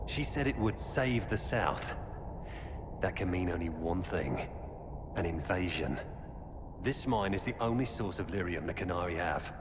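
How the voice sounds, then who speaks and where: An actor playing a weary, earnest former knight turned defector, giving grave, urgent warnings in a video game. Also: male voice, deep voice, lively intonation, unhurried. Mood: grim, resolute. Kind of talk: dramatic video game dialogue. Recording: cavernous reverb with loud background noise.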